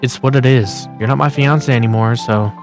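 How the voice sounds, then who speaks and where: A man voicing a studious, modest teenage boy in a manga dub, calm, earnest and self-deprecating. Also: medium pitch, a little fast, over music, close to an everyday voice. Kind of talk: fan manga dub with narration.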